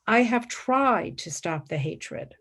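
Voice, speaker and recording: A woman's voice: mid-range voice, expressive, fairly bright tone, breathy edge, heavily acted. A woman playing a devout, stubborn, impassioned nun and philosopher in a radio play, speaking in an earnest, formal way. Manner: earnest, formal, deliberate, argumentative.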